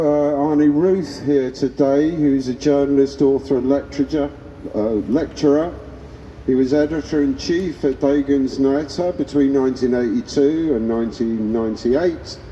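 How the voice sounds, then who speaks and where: A man hosting an outdoor vigil, introducing speakers over a microphone in an informal, halting way. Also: medium pitch, steady tone, warm tone. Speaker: event host introducing speakers. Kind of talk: informal public announcement. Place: outdoors at a vigil by prison gates. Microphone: handheld microphone. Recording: muffled, echoey, noisy outdoor PA.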